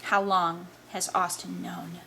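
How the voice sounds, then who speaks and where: A woman's voice: lowish voice, flat delivery, slow pace, vocal fry, pushed and bright, a little hiss or hum, exaggerated voice, poor audio. A woman voicing a stern, suspicious, weary mother with a reproachful manner in a story reading.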